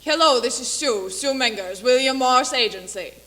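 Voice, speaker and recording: A woman playing a brash female Hollywood agent in a dramatic stage monologue, speaking deliberately with long pauses. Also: sits high, expressive, fairly bright tone. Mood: breezy, gleeful. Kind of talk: theatrical dramatic monologue for speech competition.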